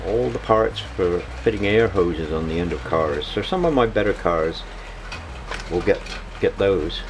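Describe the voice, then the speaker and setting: A man giving a casual, unscripted hobby vlog narration in a halting way, often repeating words. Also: low-pitched, expressive, background noise.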